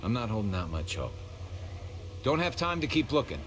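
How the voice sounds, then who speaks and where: Loyal survivor scout, steady, resolute, animated pitch, game drama, faint ambient noise. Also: male voice, moderate pace. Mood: resolute warmth. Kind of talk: dramatic video game acting.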